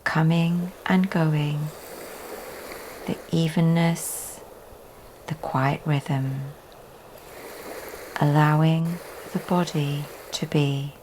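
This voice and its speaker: A woman teaching yoga leads a guided relaxation meditation in a soft, often breathy delivery with long pauses. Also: sits low, steady tone. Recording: faint background noise.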